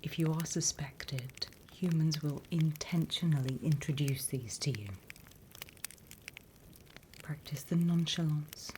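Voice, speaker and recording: A British woman playing a sly demon instructor in a whispered ASMR roleplay, unhurried, wry and smugly scornful of humans. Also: low voice, a little hiss or hum. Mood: calm, instructive. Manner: unhurried, hushed, teacherly, wry.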